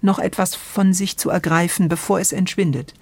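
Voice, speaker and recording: Woman, slightly low pitch, vocal fry, reflective podcast co-host, expressive searching phrasing, dry sound. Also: moderate pace, no echo. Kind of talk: reflective podcast discussion of radio drama.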